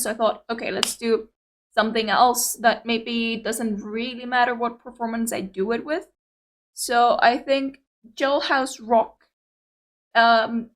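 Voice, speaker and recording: A young woman with a Greek Cypriot accent, whose first language is Greek, chatting casually and rambling to viewers as a reaction video host. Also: a little high. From Cyprus. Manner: chatty, rambling, hesitant with many fillers.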